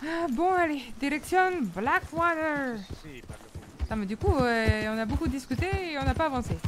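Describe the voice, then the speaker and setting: A woman's voice: sits high, moderate pace, background noise. A woman playing a chatty young Mexican woman in a roleplay, with a put-on Mexican accent and hesitant talk full of fillers. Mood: cheerful. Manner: hesitant, full of fillers, sprinkles Spanish words. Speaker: roleplay player.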